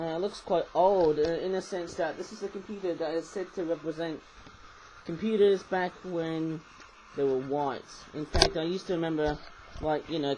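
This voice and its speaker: A man with a slightly high, just-woken croak rambles through a casual toy review on a webcam. He loops back, restarts his sentences and throws in chatty asides. A faint hiss sits under his voice.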